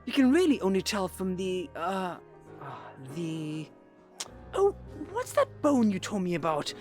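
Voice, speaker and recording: A woman's voice: mid-range voice, sing-song, a little slow, darkish tone, soft background music. A woman playing a polite, eager, slightly flustered hostess in a comedic audio drama. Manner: earnest, hesitant, searching for words.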